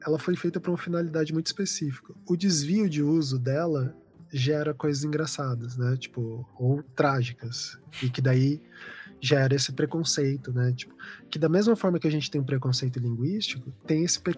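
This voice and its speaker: A man's voice: on the high side, moderate pace, a little mellow, faint music behind. A man talking as a designer guest in a casual podcast chat, explaining at length with plenty of fillers and restarts. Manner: loose, rambling explanation with many fillers.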